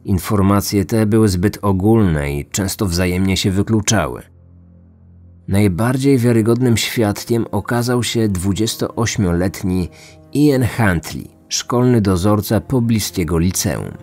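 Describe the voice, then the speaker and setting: A man delivers scripted true-crime narration as a podcast host. His voice is fairly low, with music playing underneath. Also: animated, a little slow, a little mellow.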